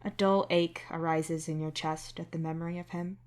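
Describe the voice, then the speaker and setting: Woman narrating fiction, slightly low voice. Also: steady tone, moderate pace, darkish tone, clean tone. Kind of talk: scripted second-person story narration.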